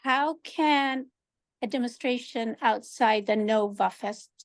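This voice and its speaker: A woman's voice: a little high, slow, no echo, clean studio sound. A woman from Sweden, calling into a video call with worried, searching questions. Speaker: caller.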